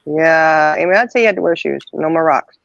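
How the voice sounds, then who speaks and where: Gravelly older woman on a dull line. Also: low voice, fairly quick, no room sound. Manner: folksy, laughing, sympathetic. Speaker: co-host. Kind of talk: casual chat.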